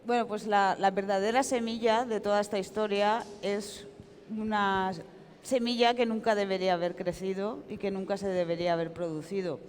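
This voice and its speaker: In a big reverberant hall, a woman with a Valencian accent gives an interview in Spanish. She tells her account frankly and with emphasis, at a middling pitch, as an author and journalist would. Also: fairly even intonation, moderate pace. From Valencia, Spain. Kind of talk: public interview, spoken account. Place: large reverberant hall at comic convention. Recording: echoey hall with long reverb.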